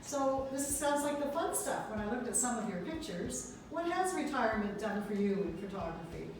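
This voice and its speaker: A woman's voice: a little high, sing-song, normal speed, noisy background. A woman interviewing arts club members in a chatty, enthusiastic way. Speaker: interviewer and producer. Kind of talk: casual interview. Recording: echoey room, far from mic, with music.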